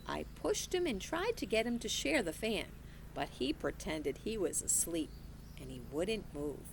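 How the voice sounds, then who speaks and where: A woman teacher reading a children's novel aloud in an expressive, unhurried storytelling manner. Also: fairly low pitch, creaky, noisy background. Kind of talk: children's book read-aloud.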